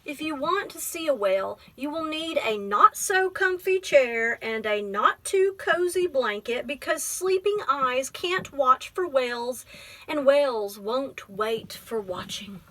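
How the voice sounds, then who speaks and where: A woman's voice: high voice, expressive, measured pace, bright, forceful tone. A woman library teacher reading a picture book aloud, explaining things simply and prompting with questions.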